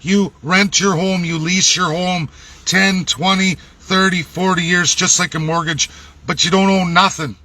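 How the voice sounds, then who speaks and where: A man's voice: high voice, fairly even intonation, unhurried, bright, forceful tone. A man with a Canadian accent delivering a blunt, indignant, emphatic and profane unscripted political rant as a vlogger. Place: laundromat.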